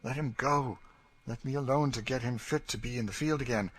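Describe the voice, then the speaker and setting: A man voicing a weary, sharp-tongued woman. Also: mid-range voice, brisk, husky, pitched above the performer's own voice. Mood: wry. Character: weary, bitter older woman.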